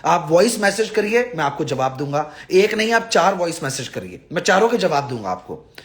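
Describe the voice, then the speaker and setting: A man's voice: fairly high pitch, sing-song, moderate pace. A man addresses followers on a live stream, blunt and exasperated, scolding and pleading with emphatic repetition. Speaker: visa advisor and live-stream host.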